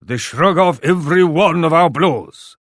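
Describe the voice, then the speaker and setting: Proud warlord, dramatically swooping pitch. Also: male voice, lively intonation, unhurried, a bit bright, dry recording, studio quality. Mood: grim, alarmed. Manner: solemn, declamatory, speaks of himself in third person. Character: proud, grim Japanese warlord commanding his armies. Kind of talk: theatrical video game voice lines.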